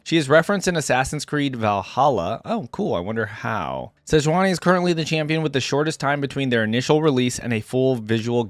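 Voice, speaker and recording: A man's voice: medium pitch, no room sound, clean studio sound. A man hosting a reaction video, speaking informally as an opinionated fan, moving between casual commentary and reading aloud.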